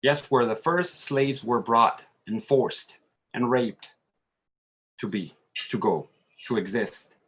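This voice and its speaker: Slow-speaking man. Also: medium pitch, a bit bright. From Dominican Republic. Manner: halting, searching for words. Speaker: panelist. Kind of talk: earnest roundtable statement.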